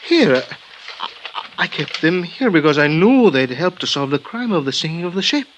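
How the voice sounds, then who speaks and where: An actor playing a grave, formal Mexican hospital doctor, speaking in a measured, halting way in a 1950s radio drama. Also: male voice, fairly high pitch, big pitch swings, fairly bright tone, poor audio. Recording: old radio broadcast with faint hiss.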